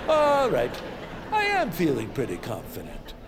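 A man's voice: mid-range voice, wildly swooping pitch, unhurried. A man playing a smug, mocking American senator of the 1910s in an audio drama, speaking with sarcastic, gloating showmanship. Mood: gleeful, sarcastic. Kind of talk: audio drama speech, theatrical letter reading. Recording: echoey senate hall, background noise.

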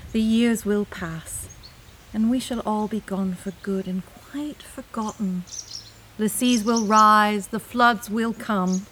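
A woman's voice: medium pitch, a bit bright. A woman acting in an audio drama as a wistful, yearning woman reflecting on life.

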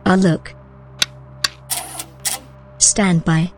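A woman playing a calm, formal and helpful artificial intelligence assistant in an audio drama, measured and matter-of-fact. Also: on the low side, music in the background. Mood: composed, helpful.

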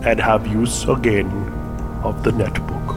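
A man's voice: on the low side, steady tone, a little slow, over loud music. Theatrical mock-pompous man, podcast host. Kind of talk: casual tech chat.

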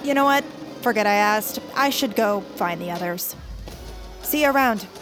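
A woman playing an earnest, persistent, wry high school girl in a naturalistic audio drama, with crowd noise behind her. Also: expressive, normal speed, over music, close to an everyday voice.

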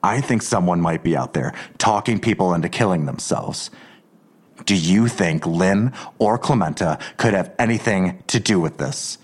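Menacing, very low-voiced male detective. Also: expressive, moderate pace, husky. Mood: suspicious, pressing. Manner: pressing, accusatory, pointed questioning. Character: menacing, suspicious male police detective interrogating a woman. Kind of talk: audio drama acting.

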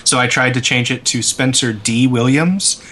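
A man's voice: mid-range voice, moderate pace, pushed and bright, a little hiss or hum. A man co-hosting a podcast, chatting casually with a wry, joking manner. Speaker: podcast co-host. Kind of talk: casual chat, anecdotes.

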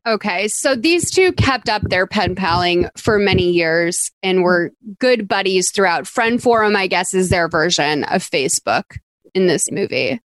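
A woman's voice: medium pitch, lively intonation, unhurried, no echo, low-quality recording. A woman from Massachusetts hosting a podcast, recapping a film in a chatty, rambling way with plenty of "like.". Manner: chatty, rambling, lots of "like" fillers. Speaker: podcast host. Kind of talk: casual chat recapping a film.

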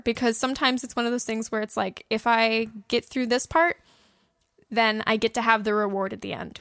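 A woman's voice: on the high side, fairly bright tone, dry recording, studio quality. A young woman co-hosting a podcast, giving casual, earnest and encouraging advice. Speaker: podcast host and audio drama producer. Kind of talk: casual how-to podcast talk.

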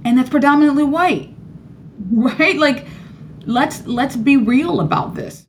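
A Cuban-born woman professor speaking earnestly as a radio interview guest, growing animated when she presses a point. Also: slow pace, vocal fry, slight background noise. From Cuba. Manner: earnest, animated, rising high when emphatic.

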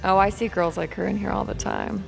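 A woman's voice: medium pitch, steady tone, a little fast. An actress playing a confident, flirtatious woman at a bar in an audio drama, playful and knowing. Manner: playful, smooth-talking, knowing. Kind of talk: naturalistic audio drama acting. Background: music.

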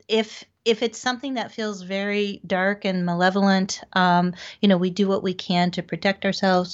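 A middle-aged woman answering as an interview guest in a thoughtful, tentative conversational way. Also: fairly low pitch. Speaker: interview guest, psychic medium. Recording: dull lo-fi call audio.

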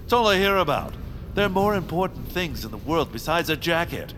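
An actor playing a pompous, callous rich baron in a family audio drama, with a theatrical, self-satisfied delivery. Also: male voice, a little high, sing-song, a little fast, noisy background. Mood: exasperated, grandiose. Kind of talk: family audio fiction character acting.

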